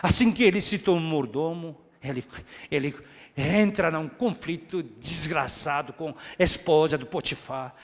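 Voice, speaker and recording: A man preaching a sermon as pastor, dropping to hushed asides and then rising to loud, high, emphatic repeated phrases. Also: unhurried. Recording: echoey hall with long reverb.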